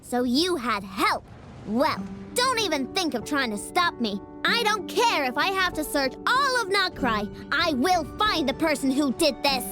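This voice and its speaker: A woman's voice: high voice, very animated, unhurried, over music. A woman voicing a young, stubborn, hot-headed girl inventor in a video game, with a commanding, declamatory manner. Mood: defiant. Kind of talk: video game cutscene acting.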